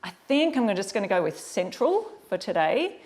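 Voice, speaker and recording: A woman's voice: mid-range voice, big pitch swings, moderate pace, a little mellow. A woman with an Australian accent giving a casual live craft tutorial, chatting informally and directly to her viewers. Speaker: host of a live craft demonstration. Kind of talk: casual livestream tutorial chat.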